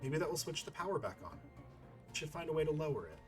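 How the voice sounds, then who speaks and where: A man's voice: on the high side, big pitch swings, fast, soft background music. A man doing casual let's-play commentary, thinking aloud in a rambling, playful and sometimes profane way. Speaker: gaming YouTuber playing and commenting.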